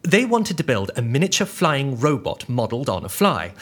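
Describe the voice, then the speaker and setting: An actor playing an anxious, earnest scientist on the run in an audio drama, explaining things in a precise, literal way with a husky voice. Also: male voice, medium pitch, very animated, normal speed. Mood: proud, explanatory.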